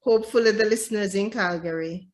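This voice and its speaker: A woman interviewing guests on a video call, her voice sitting at an ordinary middle pitch. She is encouraging and affirming, often chuckling softly as she talks. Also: fast talker. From immigrant to Canada since 2013. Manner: warm, affirming, chuckles between remarks. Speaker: podcast host.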